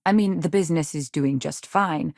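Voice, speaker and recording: Low-voiced, ambitious, frustrated frontier wife. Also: female voice, normal speed, creaky, a little mellow, no echo, clean studio sound. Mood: earnest. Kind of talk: video game dialogue acting.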